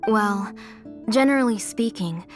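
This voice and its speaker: A woman playing a gentle, caring mother with quiet sorrow in a video game, soft-spoken and nurturing. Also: medium pitch, a little slow, faint music behind, close to an everyday voice. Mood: sorrowful.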